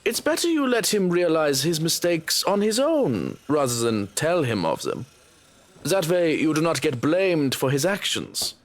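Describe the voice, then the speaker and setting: A man playing a dryly witty, sardonic employee of a rich man in a video game, speaking in formal, measured wording. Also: on the high side, very animated. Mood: smug, amused.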